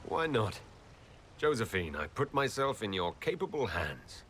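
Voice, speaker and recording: A heroic game leader, courteous and gently dry. The voice is on the low side and very animated in pitch, with faint noise behind it. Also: male voice, measured pace. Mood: gently teasing. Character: composed, good-humoured heroic leader of a fantasy order. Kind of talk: video game cutscene acting.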